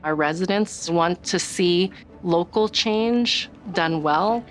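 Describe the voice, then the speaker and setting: A middle-aged woman from the US Northwest, a city development director, explaining her work in a calm, measured way in a documentary interview. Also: lowish voice, soft background music. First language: Chinese.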